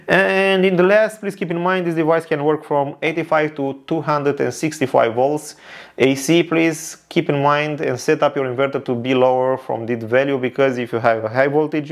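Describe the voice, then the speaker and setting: Romanian man, 30s, accented non-native English, unhurried tech tutorial, lots of "uh" and restarts, seated at a desk in a studio. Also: mid-range voice. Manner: careful non-native English with frequent uh fillers and repeats. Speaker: tech reviewer. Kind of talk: product review tutorial. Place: indoor studio with neon lights and shelves.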